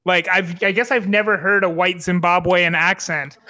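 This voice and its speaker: A man's voice: high-pitched, fairly even intonation, normal speed, bright, forceful tone. A young man co-hosting a podcast, talking casually about a film with frequent fillers and restarts. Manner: chatty, many fillers and restarts. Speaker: podcast co-host.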